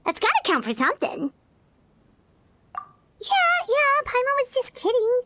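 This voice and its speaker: A woman voicing a small, excitable flying companion girl in a video game, childlike and exclamatory. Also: extremely high pitch, measured pace, dry recording, full character voice. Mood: protesting. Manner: childlike, exclamatory, refers to self in third person. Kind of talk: video game character acting.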